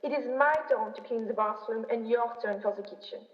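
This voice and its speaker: A huffy, pedantic butler from a radio comedy sketch, acted by a woman. The high voice echoes around a hall, slightly dulled. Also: brisk, natural voice. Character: pedantic, stubborn quarrelling butler. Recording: echoey hall, dull stage recording.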